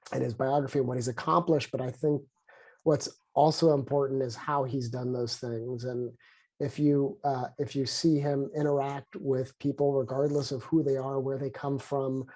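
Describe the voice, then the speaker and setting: A man's voice: mid-range voice, warm tone. Congested man on a video call. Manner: earnest, halting with restarts. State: congested from a head cold. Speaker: sociologist and conversation co-lead. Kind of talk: webinar conversation.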